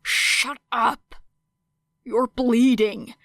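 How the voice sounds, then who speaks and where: A woman's voice: a little high, steady tone, drawn-out words, a bit bright, no room sound, voice pushed up. A woman voicing an alarmed, protective teenage boy who stammers and swears urgently in an audiobook. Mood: panicked, shocked. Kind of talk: audiobook character acting.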